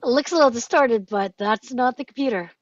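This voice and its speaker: A woman reading an impatient, excitable scientist in her late twenties, direct and animated, in an amateur table read on slightly muffled audio. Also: on the high side, a little fast, close to an everyday voice. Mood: excited.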